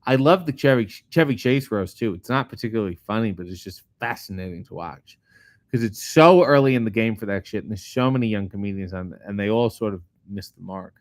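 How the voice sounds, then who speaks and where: A middle-aged man, a comedian host, reading viewer comments aloud and answering them in a casual, profane, deadpan way. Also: fairly low pitch, brisk, no echo. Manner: profane, sardonic, deadpan asides. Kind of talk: casual chat answering live chat questions.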